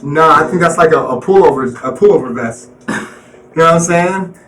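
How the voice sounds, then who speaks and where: A man's voice: on the high side, a little fast, a bit bright, distant mic, faint music behind, rough recording. A man hosting a live stream, chatting casually in slang and repeating "you know what I'm saying.". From Colorado.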